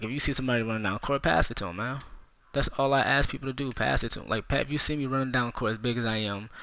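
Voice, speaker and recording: A man's voice: fairly low pitch, pushed and bright, no room sound. A man in his twenties ranting casually and with a lot of swearing, talking straight to young viewers as a gaming YouTuber. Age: 20s. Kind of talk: casual storytime rant.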